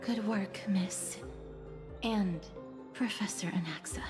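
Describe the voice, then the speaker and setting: A woman's voice: mid-range voice, slow pace, natural voice. Echoey, courteous woman acting as a noble lady. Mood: polite. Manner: polite, soft-spoken, formal. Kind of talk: video game story acting. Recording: echoey, with music behind.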